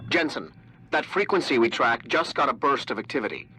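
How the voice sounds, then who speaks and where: An actor playing a brisk, snippy tech specialist in a game, precise and businesslike. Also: male voice, mid-range voice, animated, bright, forceful tone, slight background noise, poor audio. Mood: brisk, focused.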